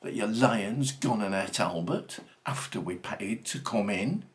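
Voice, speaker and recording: A man's voice: lowish voice, a little slow, slight background noise, natural voice. A man voicing a blunt, indignant working-class mother in a comic recited poem.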